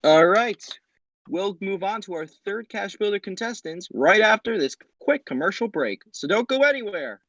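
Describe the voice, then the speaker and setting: A man hosting an amateur quiz show, upbeat and brisk as he announces questions. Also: high-pitched, expressive, a bit bright, no room sound, dull audio.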